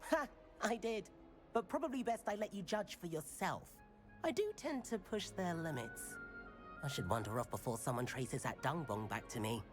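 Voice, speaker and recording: A man's voice: a little high, animated, moderate pace, soft background music, natural voice. A man playing a cheeky, easygoing teenage boy prankster at a wizard school in a game, playful and breezy. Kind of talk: scripted game dialogue.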